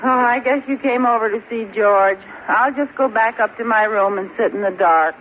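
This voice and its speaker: An actress playing a glum, hesitant, self-pitying housewife in a 1940s radio comedy. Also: female voice, a little high, normal speed, close to an everyday voice. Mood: dejected. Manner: mopey, hesitant. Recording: tinny, noisy old radio recording.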